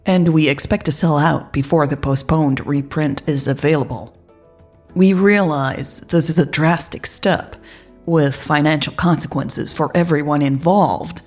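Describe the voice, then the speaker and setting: A woman narrating a scripted first-person story in a reflective, confiding, measured manner. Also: sits low, creaky, darkish tone. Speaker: narrator of a romantic story podcast.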